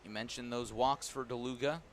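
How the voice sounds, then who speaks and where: A man's voice: mid-range voice, steady tone, moderate pace, slight background noise. An American man giving live radio play-by-play of a baseball game as the announcer. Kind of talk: live radio sports commentary.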